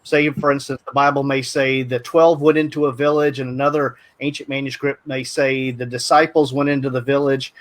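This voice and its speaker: An older man calmly explaining a point as a guest in a panel discussion over a video call. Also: medium pitch, a little fast, few pauses. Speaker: guest apologist. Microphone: video call webcam.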